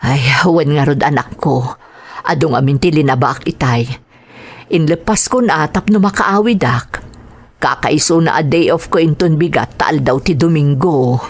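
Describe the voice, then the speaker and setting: A woman's voice: deep voice, normal speed. A woman playing a tired working mother, patient and resigned, in a family audio drama. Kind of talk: dramatized radio-style family drama acting.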